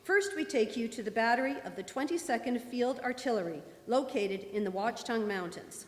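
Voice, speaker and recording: A woman plays a news announcer in a radio drama, reading bulletins formally and with measure. Her voice is somewhat high. It carries through a big hall with long reverberation. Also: expressive, moderate pace, lightly acted. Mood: composed, hurried. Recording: echoey church hall, live performance.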